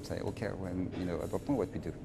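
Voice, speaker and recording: A middle-aged man from France with a French accent, answering interview questions in an emphatic, halting way and often repeating phrases. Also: sits low, steady tone, gravelly, noisy background. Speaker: interviewee, finance professional.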